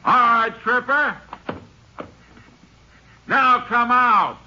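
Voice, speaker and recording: A man's voice: very high voice, very slow, sharp tone, heavily acted. An American man playing a tough, commanding lawman calling out in an old radio western. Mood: firm. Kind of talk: shouted radio western acting. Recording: muffled, faintly noisy old radio recording.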